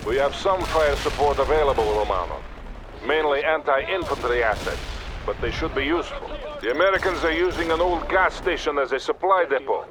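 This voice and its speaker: A man's voice: a little high, animated, close to an everyday voice. Man playing a stern Soviet colonel amid gunfire. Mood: authoritative. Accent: Russian. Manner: authoritative, measured, commanding. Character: stern, composed Soviet army colonel commanding by radio. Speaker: military commander character. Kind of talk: video game voice acting, military briefing. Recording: battle gunfire noise behind voice. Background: gunfire, artillery and vehicles.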